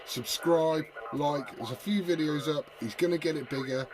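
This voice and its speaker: A British man with a slightly high voice rambles in a matey, informal way to his viewers. Radio chatter and other voices sit behind him. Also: moderate pace, rough recording. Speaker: CB radio video host. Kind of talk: casual chat to viewers.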